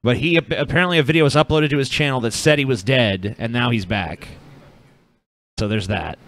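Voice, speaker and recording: A man's voice: medium pitch, fairly quick, fairly bright tone. A man co-hosting a podcast, chatting casually in a matter-of-fact way. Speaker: podcast co-host.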